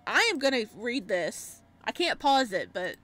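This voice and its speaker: A woman giving casual, expressive, exclamatory commentary as she plays through a game and reads it out. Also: a little high, a little slow. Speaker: gaming streamer.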